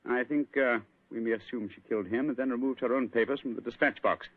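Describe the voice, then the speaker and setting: An actor playing an assured gentleman detective explaining his deductions in an old radio drama. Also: mid-range voice, steady tone, fast talker, no room sound, natural voice. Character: calm, clever gentleman detective. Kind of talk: 1940s radio acting. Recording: tinny old radio broadcast.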